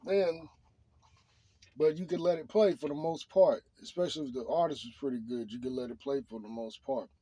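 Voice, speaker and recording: A middle-aged man shares his opinions off the cuff in a husky voice that sits a little high. He is emphatic and somewhat preachy, circling back to repeat his points. The sound is dry, with little room around it. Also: a little fast, dark tone, dry recording. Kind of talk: unscripted opinion monologue.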